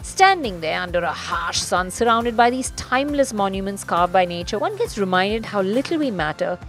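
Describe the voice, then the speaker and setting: A woman's voice: mid-range voice, expressive, moderate pace. A middle-aged woman presenting a travel and driving show, describing sights and car features with enthusiasm. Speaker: motoring journalist and travel host. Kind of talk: travel vlog narration and on-camera commentary. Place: underwater observatory, then inside a car. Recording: voice with loud background music.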